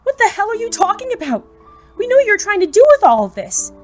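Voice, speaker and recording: A woman's voice: extremely high pitch, sing-song, quick pace, faint music behind. A woman playing an indignant, plucky young student hero in a scripted audio drama, blunt and flustered, blurting and stammering in disbelief. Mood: baffled.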